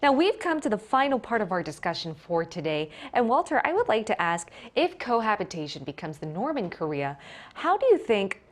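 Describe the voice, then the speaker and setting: A woman's voice: mid-range voice, very animated, brisk. A young woman presenting a live morning discussion show as host in a TV studio.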